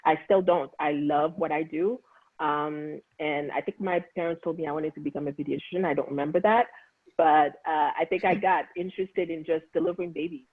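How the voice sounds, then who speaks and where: A Haitian-accented man, a physician on a conference panel, speaks earnestly and without hurry, often pausing on fillers. His voice sits fairly high for a man. It comes through a narrow, tinny, phone-like line. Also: steady tone. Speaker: OB-GYN physician. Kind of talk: panel conversation.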